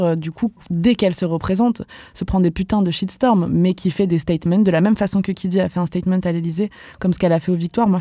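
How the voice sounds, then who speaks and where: A young woman from France, a professional dancer, speaking candidly as a podcast guest, casual and animated, with lots of fillers and slang. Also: fairly low pitch, no echo, studio quality. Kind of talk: podcast interview.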